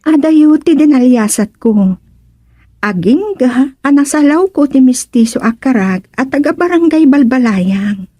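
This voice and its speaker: Actress doing a frog princess in radio comedy, high soft mellow voice, slow n theatrical. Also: female voice, lively intonation, no echo. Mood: plaintive. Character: mysterious frog princess turned beautiful young woman. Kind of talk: comedy radio drama acting.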